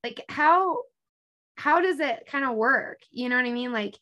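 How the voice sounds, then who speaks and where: A woman in her thirties with a slightly high voice hosts a casual chat. She is informal and agreeable, and tends to ramble as she talks. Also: animated, measured pace, pushed and bright, highs cut off. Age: 30s.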